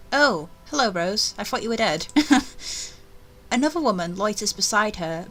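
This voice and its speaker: A woman reading a visual novel aloud as its player, with expressive narration and casual asides. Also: fairly high pitch.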